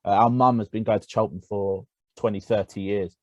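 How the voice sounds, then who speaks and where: A man co-hosting a podcast in casual conversation, speaking haltingly with many restarts. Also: fairly low pitch, fairly even intonation, dry recording. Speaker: podcast co-host.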